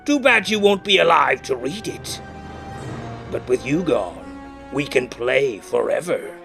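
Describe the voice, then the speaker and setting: A man voicing a mischievous, menacing living ventriloquist dummy with childish glee, in a theatrical, taunting, drawn-out way. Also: mid-range voice, sing-song, gravelly, over music, voice pushed up. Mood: triumphant, threatening. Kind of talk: dramatic script reading.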